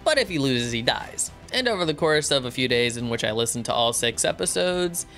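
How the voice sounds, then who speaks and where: A man's voice: mid-range voice, a little fast, soft background music. A man in his thirties talking expressively and conversationally in a casual review, close on the microphone in a home studio. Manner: expressive, conversational, acting out reactions and quotes. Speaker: YouTube reviewer and host. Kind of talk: casual spoken review to camera. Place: small home studio room. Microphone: close microphone on a boom arm.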